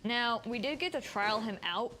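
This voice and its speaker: A woman's voice: a little high, normal speed, sharp tone, a little hiss or hum, rough recording. A woman streaming games, chatting informally and thinking aloud in a rambling way. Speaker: game streamer. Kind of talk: casual livestream chat.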